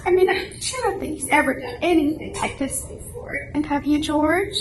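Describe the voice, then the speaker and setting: A woman's voice: sits high, moderate pace, lightly acted. A woman acting an exasperated, scolding wife who fires incredulous questions in an amateur radio drama reading. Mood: pleading, concerned. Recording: echoey room, noticeable background noise.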